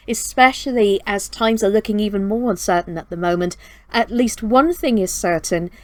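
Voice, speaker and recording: A woman with a fairly high voice speaks as the host of a children's storytime channel. She gives a chatty update, addressing viewers earnestly and directly. The sound is dry, with very little room echo. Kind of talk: chatty scripted update to camera.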